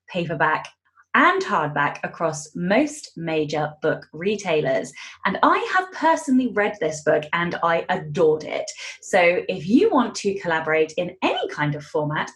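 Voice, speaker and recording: A woman hosting a podcast interview in a chatty style. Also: lowish voice. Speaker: guest podcast host.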